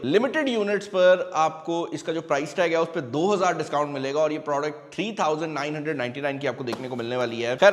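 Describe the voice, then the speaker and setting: A man with a high-pitched voice and a Pakistani accent presents a product review to viewers. He explains features in a conversational way, slipping English phrases in often. His voice carries a long reverb from the room. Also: a little hiss or hum. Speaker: tech reviewer and channel host.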